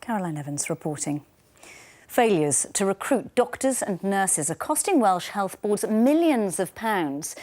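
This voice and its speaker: A woman works as a news presenter, reading from a script in a formal register. Her voice sits at a middle pitch, with the measured delivery of a broadcast bulletin. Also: sing-song, darkish tone.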